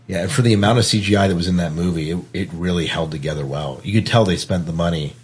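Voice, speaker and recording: A man's voice: low-pitched, fast talker, breathy edge. A man hosting a podcast, talking casually about film in a conversational discussion. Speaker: podcast host.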